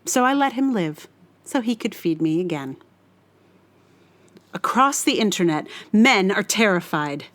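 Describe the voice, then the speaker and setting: A woman performing a scripted dramatic monologue in a deliberate, theatrical and menacing manner. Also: high voice. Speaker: writer reading own monologue.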